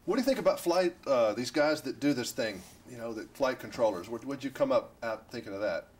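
Man, fast talker, chatty interviewer, medium pitch, informal questions, slight background noise. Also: expressive, a little mellow.

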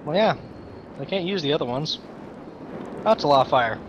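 A man's voice: on the high side, animated, moderate pace, lo-fi sound, background noise. A man making casual, joking remarks as co-commentator while watching a video game. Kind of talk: casual chat over gameplay.

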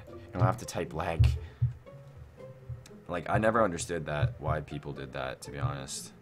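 A man's voice: extremely low pitch, normal speed. A young man giving casual gameplay commentary, rambling and thinking aloud as he hosts a gaming video. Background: music.

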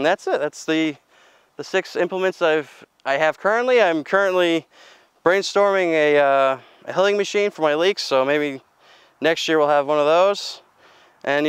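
A man's voice: on the high side, smooth, no room sound. A young man, a farmer giving a casual outdoor walkthrough of his equipment, talking plainly with lots of fillers and restarts. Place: outdoors in a farmyard.

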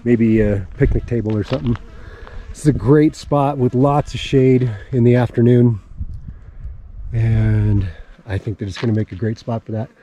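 A man's voice: medium pitch, fairly even intonation, moderate pace, dark tone, dull audio, slight background noise. Man vlogging outdoors on camera mic. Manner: plain explaining, pointing things out as he walks. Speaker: homesteading vlogger. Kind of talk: casual walk-around vlog. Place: outdoors on open dry land.

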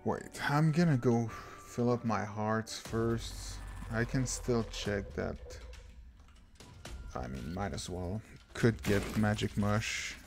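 Slow-talking man, rambling. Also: on the low side, creaky. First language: French. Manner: rambling, self-deprecating, frequent restarts. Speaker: gaming channel host. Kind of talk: casual gameplay commentary. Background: game music.

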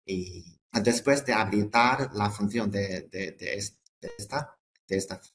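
A man presenting products in a webinar, halting and hesitant, often repeating words and breaking off phrases. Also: lowish voice, fairly bright tone.

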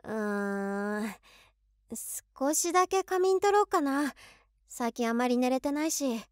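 Woman acting high-voiced lovestruck young office worker. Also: takes it slowly, smooth, no room sound, studio quality. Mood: musing. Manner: expressive, girlish musing aloud. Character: overworked lovestruck office woman of 23, excitable, devoted fan. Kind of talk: Japanese situation voice drama monologue.

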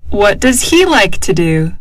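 Slow, lively woman drilling lesson phrases. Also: medium pitch, dry recording. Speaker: dialogue reader.

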